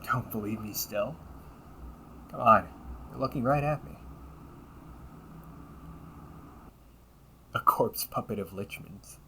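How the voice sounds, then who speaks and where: A man voicing a cunning, condescending villain and older brother in an audio roleplay, taunting and theatrical, often dropping toward breathy near-whispers. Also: fairly low pitch, normal speed, bright, forceful tone, slight background noise, full character voice. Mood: smug, exasperated. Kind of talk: audio roleplay monologue addressed to the listener.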